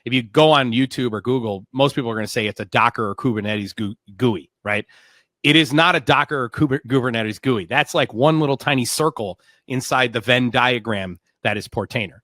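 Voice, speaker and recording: A man's voice: mid-range voice, brisk, a bit bright, dry recording. A man hosting a tech interview, talking emphatically and often restarting his words. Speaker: podcast host. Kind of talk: casual interview.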